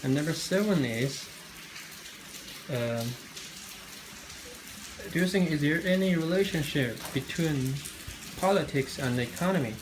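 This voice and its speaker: A man's voice: a little high, lively intonation, a little slow, pauses often. A young man, a student, interviewing a classmate and reading his questions in a hesitant way, with many fillers and restarts. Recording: noisy with frying sizzle behind.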